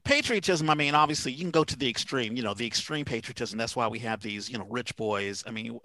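A man's voice: mid-range voice, quick pace, bright, forceful tone, no room sound. A man hosting a podcast in casual conversation. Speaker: podcast host.

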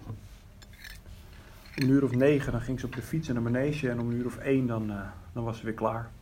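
A man acts an older, grieving father in an audio drama. He speaks in a plain, halting way at a middling pitch, as if interviewed. Faint ambient noise sits behind him. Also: steady tone, warm tone, natural voice. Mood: subdued grief. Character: grieving widowed father recalling his missing daughter. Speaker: actor. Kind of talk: fiction podcast, naturalistic interview acting.

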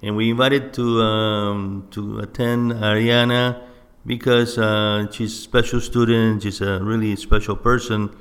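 A man from Cuba hosting an interview, talking haltingly with many fillers and restarts. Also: lowish voice, flat delivery, fairly bright tone. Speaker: host. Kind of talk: casual interview. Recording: roomy echo.

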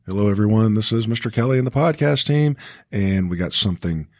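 A man's voice: fairly low pitch, expressive, brisk, darkish tone, no room sound. A man hosting a casual podcast chat in a folksy, enthusiastic way, often checking in with his listeners. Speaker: podcast host.

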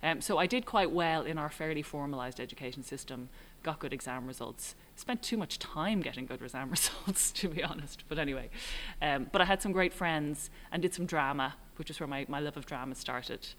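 A middle-aged Irish woman, a writer and director, answering interview questions in a thoughtful, halting way with frequent ums. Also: sits low, fairly bright tone, slight background noise. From Ireland. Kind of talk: relaxed reflective interview answers.

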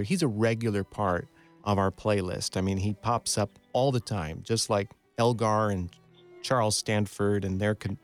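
A man hosts a conversational radio interview. His voice sits on the low side and his phrasing is measured and courteous. Faint music plays behind him. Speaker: radio program director and interviewer.

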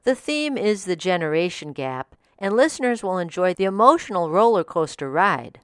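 A woman's voice: lowish voice, big pitch swings, normal speed, no room sound, studio quality. A woman reading a scripted review as a podcast host.

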